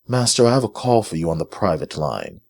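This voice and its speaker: A man's voice: low voice, wildly swooping pitch, brisk, vocal fry, darkish tone, slightly rough, full character voice. A man voicing a meek, deferential male servant with a professional, formal manner in a story.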